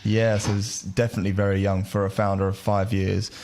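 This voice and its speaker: Male podcast host, low voice, asking questions. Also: flat delivery, brisk, darkish tone. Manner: friendly, curious questioning. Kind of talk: conversational interviewing.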